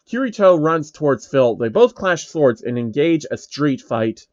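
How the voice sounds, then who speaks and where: A man's voice: a little high, big pitch swings, a little slow, darkish tone, no room sound, dull audio. A man narrating fan fiction in an informal, comic way, stumbling over the text.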